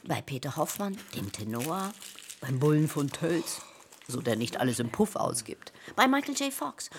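An actress playing a chatty, naively blunt patient who rambles conversationally in a radio play, with a slightly husky voice. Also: female voice, sits low, a little hiss or hum, heavily acted.

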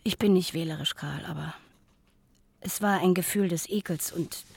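A woman's voice: on the low side, normal speed, gravelly, darkish tone, breathy edge. An actress playing a melancholy woman recounting a memory in a German radio play. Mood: sad, repelled.

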